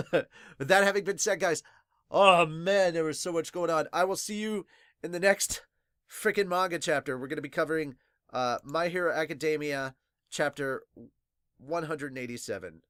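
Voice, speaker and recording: Excitable sniffly man, close dry recording. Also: fairly high pitch, normal speed, smooth, dry recording. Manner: excitable, casual swearing. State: congested, sniffly from crying. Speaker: podcast host reviewing manga. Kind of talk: casual fan reaction, reading viewer comments aloud.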